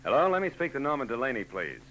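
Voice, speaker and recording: A man's voice: mid-range voice, sing-song, fast talker, fairly bright tone, low-quality recording. A man playing a wisecracking hard-boiled private eye in a 1940s radio drama, curt and tough. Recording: hissy old radio tape with hum.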